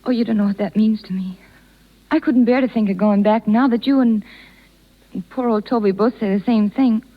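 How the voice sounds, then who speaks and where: A woman's voice: medium pitch, moderate pace, close to an everyday voice. An American actress playing an earnest, tender young woman circus rider in a 1930s radio drama. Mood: moved, hopeful. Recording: muffled 1930s radio broadcast recording.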